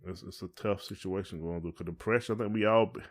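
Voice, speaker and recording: A man's voice: deep voice, steady tone, quick pace. An American man co-hosting a podcast, talking in a casual, informal and colloquial way. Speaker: podcast co-host. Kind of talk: casual conversational chat.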